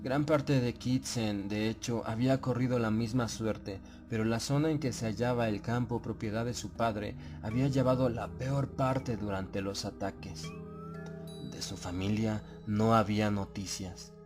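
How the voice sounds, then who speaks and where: A man narrating a horror audiobook in a solemn, measured, suspenseful way. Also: fairly low pitch, fairly even intonation, a little mellow. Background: prominent background music.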